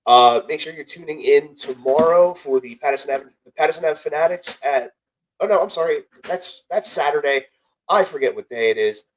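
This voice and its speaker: A man's voice: fairly high pitch, brisk, rough recording. Congested young man. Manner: rambling, self-correcting, joking. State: congested, slightly nasal from a cold. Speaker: podcast co-host. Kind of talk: casual sports podcast chat with ad reads.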